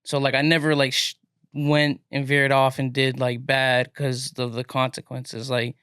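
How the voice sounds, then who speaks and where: A man with a smooth, resonant, mid-pitched voice, talking on a podcast. He rambles casually through his thoughts with plenty of fillers, and the sound is dry and close. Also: completely flat delivery, measured pace, fairly bright tone, no room sound. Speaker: podcast guest. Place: podcast studio.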